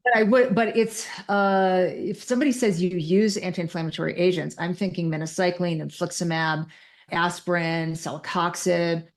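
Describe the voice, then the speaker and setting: Female clinician guest, noticeable vocal fry, lowish pitch, precise technical talk with self-corrections in a conversational interview. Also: fairly even intonation, moderate pace.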